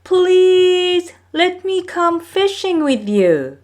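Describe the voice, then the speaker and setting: A woman's voice: very high voice, sing-song, very slow, a little mellow, voice pushed up, heavily acted. A woman voicing an eager, boastful young boy trickster in a storybook tale, pleading and insistent.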